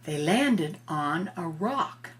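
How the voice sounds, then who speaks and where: An older woman, a grandmother, reading a picture book aloud to a child in a gentle, explanatory way with informal asides. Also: lowish voice, lively intonation, extremely slow.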